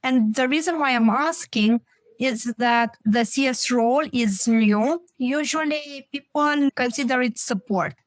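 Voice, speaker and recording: Woman, podcast host, fairly high pitch, interview style, dry sound. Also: a little slow, dry recording.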